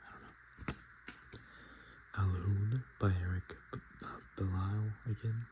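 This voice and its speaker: A man speaking softly and often whispering in a casual ASMR style, with hesitant, halting, murmured delivery. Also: on the low side, fairly even intonation, highs cut off. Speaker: ASMR video host. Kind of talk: soft-spoken and whispered ASMR, casual commentary. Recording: noticeable background noise.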